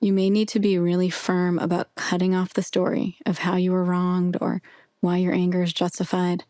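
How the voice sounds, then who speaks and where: A woman narrating a guided meditation with soothing, measured, gentle instruction. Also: on the low side, monotone, no room sound, studio quality.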